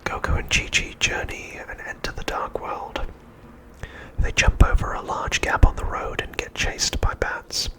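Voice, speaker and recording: A middle-aged man narrating an ASMR recap in an unvoiced, breathy whisper, gently and unhurriedly with deliberate pauses. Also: low-quality recording. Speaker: ASMR host.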